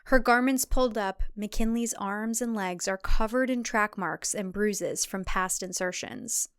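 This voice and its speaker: A woman's voice: mid-range voice, unhurried, clear, resonant tone, dry recording, clean studio sound. A woman narrator reading a scripted thriller in a dramatic storytelling style.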